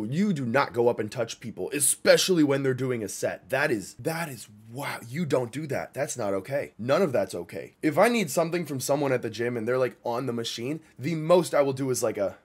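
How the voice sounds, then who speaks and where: A man's voice: medium pitch, animated, normal speed, hardly any pauses, slightly rough. A young man giving casual, blunt, slangy reaction commentary with an exasperated manner. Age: young adult. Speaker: reaction video host. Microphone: boom microphone close by.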